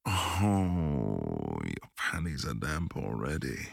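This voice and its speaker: A man's voice: rumbling low, very animated, very slow, gravelly, a little hiss or hum. A man performing an intimate audio roleplay in a dominant, commanding way, often dropping to a whisper.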